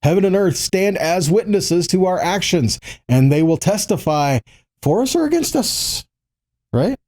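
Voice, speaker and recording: A man giving a Bible teaching, reading quotations and commenting on them, pausing to repeat key lines for emphasis. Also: high-pitched, lively intonation, darkish tone.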